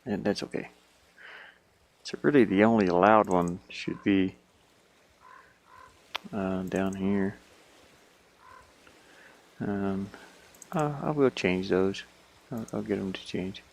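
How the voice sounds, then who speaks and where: A man's voice: low voice, slow, vocal fry, long pauses, slight background noise. A man working as an indie game developer, thinking aloud casually and muttering to himself in short fragments.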